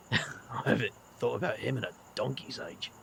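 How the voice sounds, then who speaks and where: An actor playing a weary, kindly middle-aged British magician with a gravelly voice and a slangy, wry way of talking in an audio drama. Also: male voice, mid-range voice, measured pace, a bit bright, slight background noise. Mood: fond, reminiscing.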